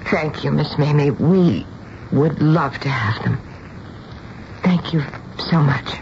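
An actress playing a gentle, anxious middle-aged mother in a radio drama, measured and a little hesitant. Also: sits low, slight background noise. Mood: hesitant, polite. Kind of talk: radio drama acting with first-person narration. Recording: dull radio recording with music behind.

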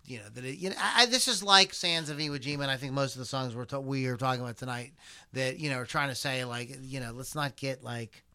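A man's voice: medium pitch, quick pace, sharp tone, clear, resonant tone. A man hosting a casual podcast chat, rambling with lots of fillers and restarts and the odd swear word. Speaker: podcast host. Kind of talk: casual chat, reading lyrics aloud.

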